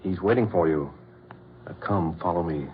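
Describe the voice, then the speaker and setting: A male actor playing a talking gorilla, calm, flat and deliberate servant of a mad doctor, in an old radio horror drama. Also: low voice, natural voice. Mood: calm, ominous. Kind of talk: 1940s radio horror acting. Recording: dull old radio recording, faint noise.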